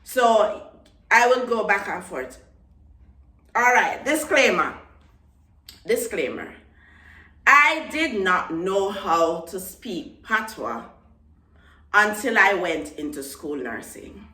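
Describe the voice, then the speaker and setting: A woman's voice: medium pitch, very animated, takes it slowly, long pauses, sharp tone, reverberant, far from the mic, rough recording. A young woman from Jamaica with a Jamaican accent, chatting casually to her vlog audience and repeating words for emphasis. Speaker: vlogger. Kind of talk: casual talk to camera.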